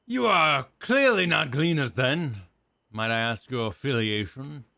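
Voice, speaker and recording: A man reading the lines of a stiff, apologetic male custodian in a slow, formal manner. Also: medium pitch, very animated, fairly bright tone, dry recording. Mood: stiffly formal.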